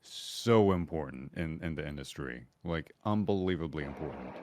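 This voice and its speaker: A man's voice: extremely low pitch, expressive, moderate pace, darkish tone, a little hiss or hum. A man in a casual panel chat giving earnest, explanatory advice as a voice actor and podcast guest.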